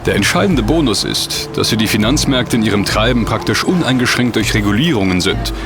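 A man's voice: lowish voice, lively intonation, a little fast, over music. An actor playing a well-read, sceptical young man who explains facts at length and distrusts the rich. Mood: explaining.

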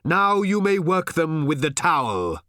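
A man's voice: medium pitch, sing-song, fairly bright tone, no echo, clean studio sound. A man voicing a grave, pompous old holy man. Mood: commanding. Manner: pompous, archaic, slow pronouncements. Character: old village holy man, grave, pious, cryptic bluffer. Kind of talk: audiobook character voice.

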